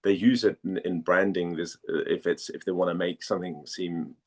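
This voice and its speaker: A man from Denmark chatting casually as an interview guest, haltingly searching for words and repeating phrases. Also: deep voice, steady tone. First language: Danish.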